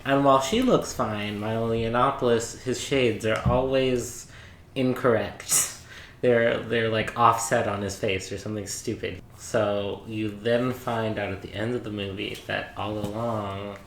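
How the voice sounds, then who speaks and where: A man's voice: lowish voice, steady tone, unhurried, creaky, a bit bright. A man co-hosting a podcast, casually retelling a movie plot to a group. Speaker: podcast co-host. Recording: roomy echo, background noise.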